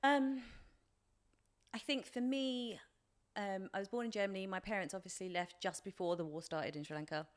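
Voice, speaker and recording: A woman's voice: medium pitch, steady tone, normal speed. A British woman in her forties talking reflectively about herself as an interview guest, in an informal, hesitant way with plenty of filler phrases. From England, from age three. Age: 40s. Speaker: podcast guest, life coach.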